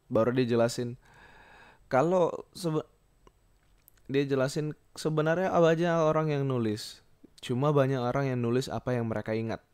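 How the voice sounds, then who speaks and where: A young man talks casually alone as a podcast host, rambling and halting as he thinks aloud and repeats himself. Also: mid-range voice, darkish tone, clear, resonant tone, no echo. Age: young adult. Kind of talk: casual solo podcast monologue.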